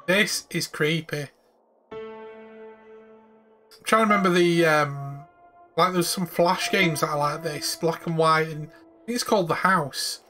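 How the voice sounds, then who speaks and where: A man's voice: a little high, unhurried, long pauses, pushed and bright. A man giving casual let's-play commentary with short, offhand reactions to the game he is playing. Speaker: gamer playing through a video game. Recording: faint game music behind.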